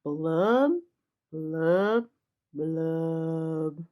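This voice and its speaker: A woman's voice: low voice, drawn-out words, clean tone, pitched below the performer's own voice. A woman voicing a glum, resigned male fish in a children's storybook, in sing-song rhyme on a slightly dull recording.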